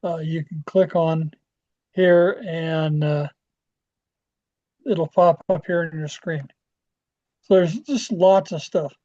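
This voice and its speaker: An American man giving an informal software walkthrough as a club trainer, halting and often trailing off as he rambles along. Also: a little high, steady tone. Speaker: presenter training radio club members. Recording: dull, lo-fi screen-share audio.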